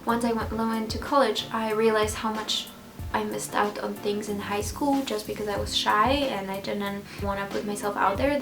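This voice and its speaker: A woman in her twenties from Poland, a native Polish speaker with a Polish accent, chatting casually and a little hesitantly to camera as a vlogger. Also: mid-range voice. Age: 20s. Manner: hesitant, slightly nervous. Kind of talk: casual sit-down chat to camera. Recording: roomy indoor echo.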